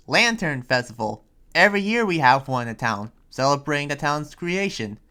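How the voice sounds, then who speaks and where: Someone playing an earnest town preacher in an improvised anime game roleplay, speaking haltingly and hesitantly. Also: medium pitch, very animated, pushed and bright, clean tone. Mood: proud, eager. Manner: halting, hesitant, often trailing into "you know". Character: Yuma, earnest town preacher busy planning a festival. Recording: music behind.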